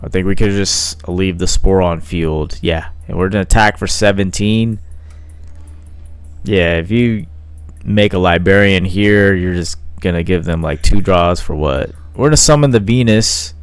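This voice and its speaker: A man calls a card game match live in a loose, chatty way, thinking out loud and filling pauses as he goes. His voice sits low and comes across smooth and resonant. The recording is dry and close, with faint background music. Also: dry recording. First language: not Italian. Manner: casual, thinking aloud with fillers.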